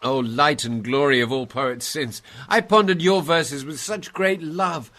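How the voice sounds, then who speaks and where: A man's voice: fairly high pitch, a little slow, a bit bright, voice pushed up. A man voicing an awestruck, frightened poet pleading for rescue in an impassioned, declamatory story reading. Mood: reverent, overcome. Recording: noisy, hissy background.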